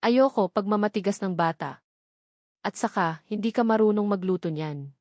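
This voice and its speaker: A woman's voice: medium pitch, moderate pace, a little mellow, no room sound, studio quality, lightly acted. A woman voicing a stubborn, grieving young boy in an audio drama, speaking in a hard, defiant way. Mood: defiant, hurt.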